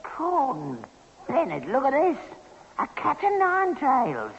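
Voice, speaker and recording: A man's voice: very high voice, huge pitch swings, takes it slowly, gravelly, a bit bright, a little hiss or hum, exaggerated voice. An actor playing a grumbling, scornful old London Cockney father in a 1960s radio sitcom. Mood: nosy disapproval. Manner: querulous, scornful. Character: grumbling, mocking, sly old rag-and-bone man father. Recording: dull 1960s radio recording.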